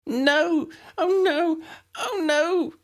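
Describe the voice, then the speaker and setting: A man's voice: extremely high pitch, drawn-out words, pitched above the performer's own voice, heavily acted. A man voicing a timid, anxious, brainy young woman with a faltering, fearful delivery. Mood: terrified sobbing.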